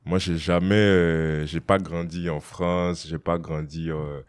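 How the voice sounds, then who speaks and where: Very deep-voiced young Togolese man. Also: takes it slowly, smooth. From Togo. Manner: relaxed, slangy, drops English phrases, many "tu vois". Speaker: rapper guest. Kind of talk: casual interview chat.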